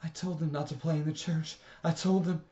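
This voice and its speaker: A man voicing a frantic woman at a fire, speaking high and pleading on a dull lo-fi recording. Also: lively intonation, fairly quick, warm tone, lightly acted. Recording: dull lo-fi audio.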